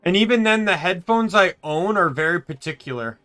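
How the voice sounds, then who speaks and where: A man with a bright, slightly high voice talks loosely while he plays a video game in his room. He rambles and trips over his own sentences, and quiet game music plays underneath. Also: expressive, brisk. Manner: rambling, self-correcting. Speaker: streamer. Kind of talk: casual gameplay chat. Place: home room.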